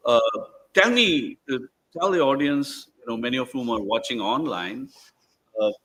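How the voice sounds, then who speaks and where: Male moderator, Indian accent, mid-pitched voice. Conversational and digressive, halting delivery for a live book panel. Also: expressive, a bit bright, rough recording. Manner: digressive, halting, fond of lists. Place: live event before a small audience.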